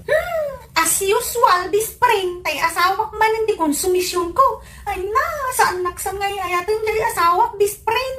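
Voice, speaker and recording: A woman's voice: very high voice, animated, a little slow, sharp tone, full character voice. A woman with a Filipino accent playing a jealous, scolding wife in a comedy radio drama, nagging and exclamatory. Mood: aggrieved. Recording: music behind the voice.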